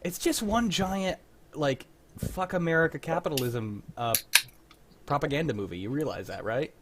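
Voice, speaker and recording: A man hosting a casual group chat over a video call, speaking in an excitable, opinionated, freely swearing way. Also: fairly high pitch, moderate pace, very noisy. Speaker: host of a radio drama livestream.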